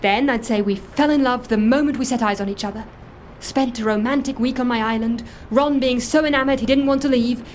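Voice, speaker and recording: A woman's voice: fairly high pitch, fairly quick, a little mellow, slight background noise, close to an everyday voice. An actress playing an earnest, guilt-ridden woman who confesses and justifies herself in a matter-of-fact way, in naturalistic audio drama. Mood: matter-of-fact, confiding. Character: earnest, guilt-ridden woman secretly running a dead man's life. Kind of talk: audio fiction drama acting, naturalistic dialogue.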